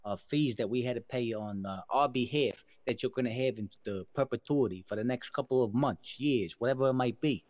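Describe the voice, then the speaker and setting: A business-minded gangster from a crime roleplay game voiced by a man. He speaks at a middling pitch in careful, wordy, hedged phrases, with no room sound around the voice. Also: a little mellow, dry recording, lightly acted. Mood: advising. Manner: deliberate, hedging, wordy business talk. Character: measured, business-minded mobster advising the boss.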